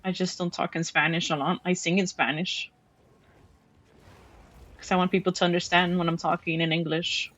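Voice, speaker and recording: A woman's voice: lowish voice, flat delivery, moderate pace, long pauses, a bit bright. A woman from Mexico with a Mexican accent, streaming a game and chatting casually with her chat, often restarting her sentences. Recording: dull lo-fi stream audio.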